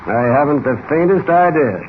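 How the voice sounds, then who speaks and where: An actor playing a dignified, concerned police commissioner in 1940s radio style. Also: male voice, fairly high pitch, animated, moderate pace, narrow, tinny audio, slight background noise, natural voice. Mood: concerned, formal. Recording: thin, band-limited old radio recording.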